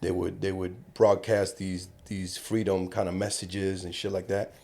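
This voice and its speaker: A middle-aged actor from Cuba talking casually in a podcast interview, an animated storyteller who swears freely. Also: male voice, deep voice, measured pace. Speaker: actor guest.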